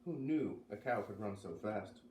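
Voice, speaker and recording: A man reading a children's novel aloud as an expressive storybook narrator. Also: on the low side, normal speed. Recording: roomy, distant mic, faint noise.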